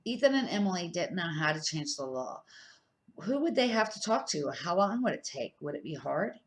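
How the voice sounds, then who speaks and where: A woman's voice: fairly low pitch, sing-song, normal speed. An older woman reading a children's storybook aloud as a grandmother would, an amateur who now and then stumbles and corrects herself.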